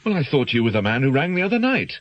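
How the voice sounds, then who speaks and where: An actor playing an anxious, guilt-ridden doctor in a radio drama, speaking in hesitant, defensive, educated phrases. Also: male voice, medium pitch, very animated, fast talker, dull audio, natural voice. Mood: nervous. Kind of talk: naturalistic radio drama acting.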